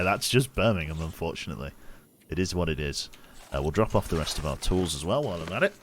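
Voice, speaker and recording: A man with a very low voice chats through his gameplay, talking himself through each move as he goes. He sounds a little sniffly and congested. A steady noise sits under the recording. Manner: chatty, muttering his actions aloud as he plays. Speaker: streamer. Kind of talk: casual gameplay commentary.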